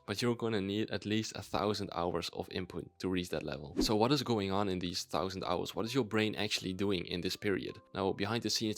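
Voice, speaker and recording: A young Dutch man, a native Dutch speaker, talking in a chatty, enthusiastic explainer vlog with ums and restarts. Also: fairly low pitch. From Netherlands. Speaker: YouTuber.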